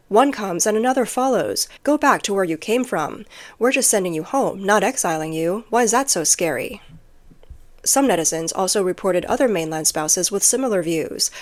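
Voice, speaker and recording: Woman narrating a scripted news report. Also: medium pitch. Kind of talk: scripted news narration with read-out translated quotes.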